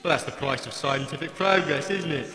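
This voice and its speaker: A man's voice: fairly high pitch, brisk, sharp tone. A man voicing a grumbling, cheeky old working-class robot with a British accent, blokey and sarcastic, in a comic audio drama. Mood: gleeful, sarcastic. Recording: echoey hall, crowd noise behind.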